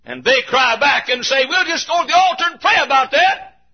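An American man preaching a fervent revival sermon in a raspy, straining voice, impassioned and often shouting in a repetitive cadence. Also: animated, a little fast. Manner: impassioned, shouting, repetitive cadence, dramatic swings from low to loud. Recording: dull, muffled lo-fi sermon recording.

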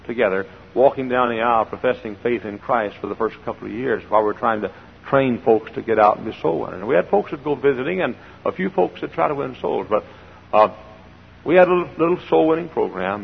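An older man preaching a sermon from the pulpit with a froggy, gravelly voice, shifting between hollered exhortation and quiet storytelling and repeating phrases for emphasis. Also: mid-range voice, a little fast, a little hiss or hum. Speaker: pastor. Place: large church sanctuary. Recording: dull old recording in a reverberant church.

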